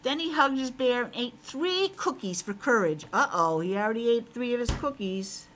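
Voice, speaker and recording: A woman's voice: on the high side, animated, normal speed, fairly bright tone, slight background noise, rough recording. A woman teacher reading a picture book aloud to young children, expressively, with simple asides to her listeners.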